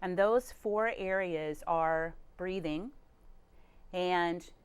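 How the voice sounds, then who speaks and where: A woman hosting a television studio talk segment. Her voice sits a little low for a woman. She guides the conversation with affirming questions and ready agreement. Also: very slow, smooth. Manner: leading, affirming questions about voice. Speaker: TV show host. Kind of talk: studio interview.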